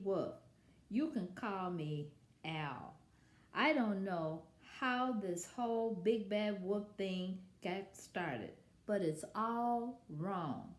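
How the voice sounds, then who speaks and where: Woman reading as a slow-talking storybook wolf, echoey room. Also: mid-range voice. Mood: confiding. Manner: confiding, indignant, drawn-out emphasis. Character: self-justifying male storybook wolf, chatty, folksy, sly. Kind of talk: children's storybook read-aloud.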